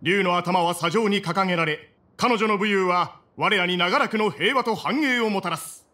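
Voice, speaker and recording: Bright, hearty mercenary father. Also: high-pitched, moderate pace. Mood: encouraging, teasing. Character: hearty male desert mercenary father, storytelling hero. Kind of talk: Japanese game voice acting.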